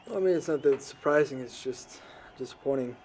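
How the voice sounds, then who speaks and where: A man's voice: medium pitch, a little mellow, slight background noise, natural voice. A young man playing an earnest, reflective teenage boy in an amateur audio drama, choosing his words thoughtfully. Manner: earnest, measured wording, reflective. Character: earnest, thoughtful, non-judging teenage boy, quiet outsider.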